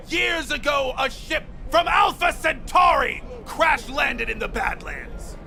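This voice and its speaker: An actor playing a fanatical, paranoid street prophet in a video game, preaching conspiracy theories with theatrical, defiant grandeur. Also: male voice, very high-pitched, gravelly, heavily acted.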